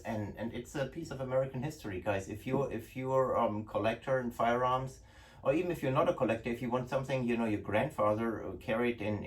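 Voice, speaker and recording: A middle-aged man from Germany with a clear German accent, talking casually to camera as the host of his shop's channel. His speech is chatty and full of fillers and restarts, with a middling pitch. He sits back from the mic, so the sound is distant and roomy. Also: slight background noise. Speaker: host, gun importer. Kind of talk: casual product talk to camera. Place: indoor office room. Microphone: far camera.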